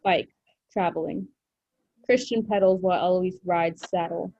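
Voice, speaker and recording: A woman's voice: on the low side, fairly even intonation, a little slow, dark tone, no room sound. A woman reading screenplay stage directions aloud as narrator at a table read. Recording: dull lo-fi recording.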